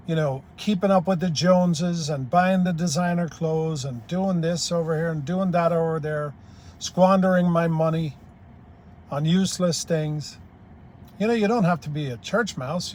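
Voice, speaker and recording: An older man from Ireland giving a blunt, plain-spoken, rambling opinion monologue as a YouTube commentator. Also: high-pitched.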